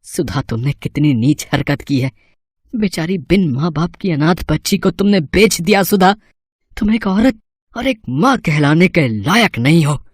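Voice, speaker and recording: Casting: man as protective family uncle, impassioned and righteous, cartoon drama, very lively intonation, dry sound. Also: fairly high pitch, unhurried, dry recording. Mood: outraged. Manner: impassioned, accusing. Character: righteous, emotional uncle outraged over his lost niece. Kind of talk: acted moral story cartoon.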